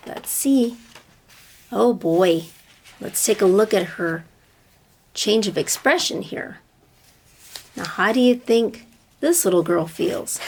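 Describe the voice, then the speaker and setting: A woman's voice: mid-range voice, slight background noise. A woman reading a picture book aloud to children like a teacher, speaking to them directly with dramatic emphasis. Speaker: read-aloud storyteller, teacher-like.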